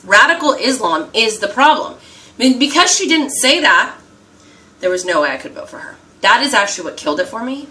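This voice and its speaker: Bright-voiced American woman, emphatic vlog chat. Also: fairly low pitch, recorded from a distance. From United States. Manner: emphatic, repeats herself, keeps asking "okay?"; talking while doing her makeup. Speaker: vlogger. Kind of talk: casual talk to camera.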